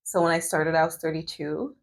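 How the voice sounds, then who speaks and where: A woman's voice: low voice, steady tone, a little fast, vocal fry. A woman in her thirties vlogging while doing her hair, chatting casually with lots of fillers and restarts. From Canada. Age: 30s. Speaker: medical student and vlogger.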